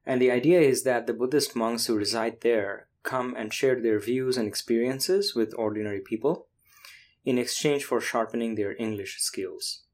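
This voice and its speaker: A man whose first language is Bangla, hosting and narrating with a measured, explanatory delivery. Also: medium pitch, steady tone, darkish tone. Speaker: podcast host and narrator. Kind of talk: scripted narration and casual interview.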